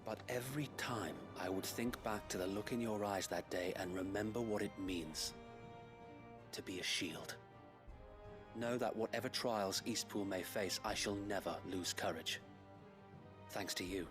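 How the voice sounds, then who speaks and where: Actor as a low-voiced, solemn knight. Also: male voice, unhurried, close to an everyday voice. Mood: solemn, grateful. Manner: solemn, formal, earnest. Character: earnest, devoted knightly shield, humble and resolute male warrior. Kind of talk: video game character dialogue. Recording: game music behind the voice.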